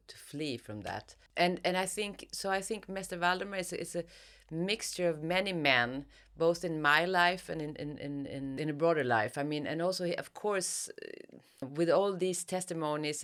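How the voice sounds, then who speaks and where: A woman giving reflective interview answers as an author, searching for her words and repeating phrases. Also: very deep voice. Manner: halting, searching for words with repetitions.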